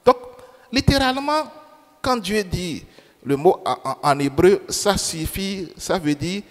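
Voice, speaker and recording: A man preaches slowly and deliberately, his voice sitting a little higher than most men's. French is not his first language, and he keeps repeating key phrases and asking the congregation questions. His words ring out with a long reverb in a church. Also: animated. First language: another language than French. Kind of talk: sermon. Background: long hall reverb.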